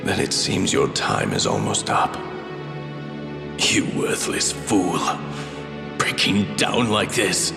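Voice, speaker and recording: A man's voice: sits low, sing-song, slow, gravelly, long pauses, full character voice. An actor playing a scheming, power-hungry traitor lord in a fantasy game, cold and contemptuous, speaking in a grandiose, theatrical way as he nears death. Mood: cold contempt. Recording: long hall reverb, loud music behind.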